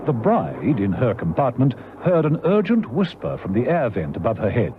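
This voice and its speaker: A man's voice: mid-range voice, wildly swooping pitch, normal speed. A man playing the storyteller of a spy radio serial, narrating in a scripted, dramatic style. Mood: suspenseful. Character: brisk, animated male storyteller of a spy serial. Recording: noisy muffled vintage radio recording.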